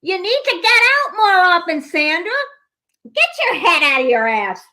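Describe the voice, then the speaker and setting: A woman's voice: extremely high pitch, very animated, normal speed, pushed and bright, heavily acted. A woman playing a cranky, cynical old woman who is blunt and sardonic, in an amateur play reading. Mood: scornful. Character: cranky, foul-mouthed, hard-of-hearing old woman, cynical.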